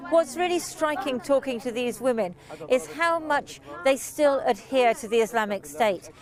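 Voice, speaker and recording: A woman's voice: sits high, lively intonation, moderate pace. A woman giving news commentary as a correspondent. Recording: crowd noise behind voice.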